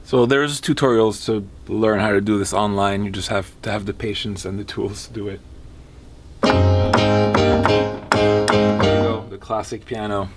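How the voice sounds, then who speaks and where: A man in his thirties with a somewhat low voice explains synthesizers in plain, technical terms. He plays keys as he talks, recorded in a wood-paneled studio room. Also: steady tone, measured pace, pauses often, loud music behind the voice. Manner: technical, explanatory, while playing keyboards. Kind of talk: casual studio walkthrough.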